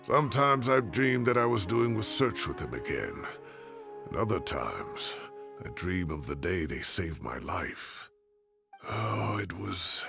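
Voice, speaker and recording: Gravelly, swooping old professor. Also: male voice, sits low, full character voice. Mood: wistful, remorseful. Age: older. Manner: drawn-out, wistful, self-deprecating. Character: ageing male professor, wry, sentimental, teasing mentor. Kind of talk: animated video game voice acting.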